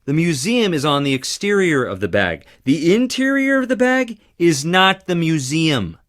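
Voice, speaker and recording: A man's voice: fairly high pitch, big pitch swings, normal speed, a bit bright. A man voicing a cheeky, theatrical young man in a video game, with a playful, teasing and dramatic delivery.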